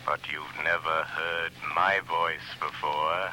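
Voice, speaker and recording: An actor playing a mysterious, invisible male avenger who speaks in a slow, ominous, deliberate way in a 1930s radio drama. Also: very deep voice, flat delivery, sharp tone, a little hiss or hum, exaggerated voice, rough recording.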